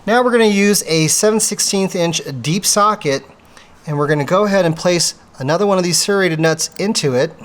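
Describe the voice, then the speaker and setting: A man's voice: high voice, fairly quick, fairly bright tone, slight background noise. A man presenting a methodical, step-by-step hands-on installation tutorial for a product he made. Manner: methodical, explanatory. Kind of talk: step-by-step hands-on how-to demonstration.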